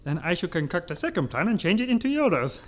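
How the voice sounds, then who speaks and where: A man's voice: sits high, sing-song, fast talker, a bit bright. A man playing a megalomaniac, short-tempered German baron villain with a pompous, ranting manner and a German accent in a comic radio sketch. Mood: scheming, pompous.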